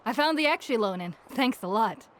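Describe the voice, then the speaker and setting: A woman's voice: a little high, expressive, fairly quick, close to an everyday voice. An actress playing a chatty, forgetful village woman who is a customer in a video game, speaking expressively. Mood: friendly, teasing. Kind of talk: English video game voice acting. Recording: clear background music.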